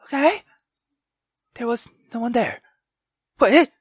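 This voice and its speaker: A woman reading a visual novel aloud as a gamer, dramatic and expressive, breaking off into exclamatory, sweary asides. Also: on the high side, slow pace, husky, no room sound, clean studio sound. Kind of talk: dramatic reading with reaction commentary. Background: game music.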